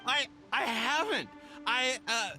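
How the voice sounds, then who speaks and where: A man's voice: very high voice, animated, slow, music in the background, full character voice. A man voicing a nervous, shifty merchant in a video game, flustered and stammering as he protests. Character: nervous, shifty male merchant pleading innocence. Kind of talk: video game character dialogue.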